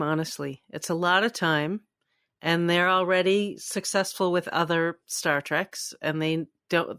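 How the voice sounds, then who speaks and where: A woman's voice: low-pitched, a bit bright, dry recording, studio quality. A woman co-hosting a podcast, chatting casually about the news. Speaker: podcast co-host.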